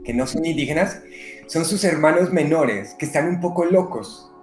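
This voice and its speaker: A man from Colombia, a medium and psychotherapist, speaking unhurriedly with many pauses in an interview. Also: on the high side, darkish tone, reverberant, faint music behind. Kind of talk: conversational interview. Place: living in Brazil.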